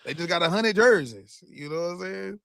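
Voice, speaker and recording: A man's voice: sits high, expressive, a little fast, gravelly, fairly bright tone, dry recording. A man guesting on a sports podcast, talking in slangy banter and laughing often. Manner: slangy, laughs a lot, says "you know what I'm saying". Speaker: guest host of another show.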